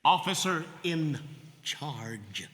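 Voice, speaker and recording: An actor playing a brisk male army officer in charge, commanding and to the point. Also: fairly high pitch, lively intonation, fairly bright tone, in a large hall, exaggerated voice.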